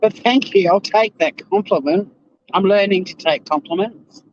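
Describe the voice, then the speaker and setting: A high-voiced Australian man chats away to his livestream viewers, animated and loose-tongued, with a slightly whiny edge to his voice. The sound is dull and lo-fi, with a faint hiss underneath. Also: normal speed. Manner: chatty, animated, swears freely. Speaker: livestream host. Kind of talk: casual livestream chat to viewers.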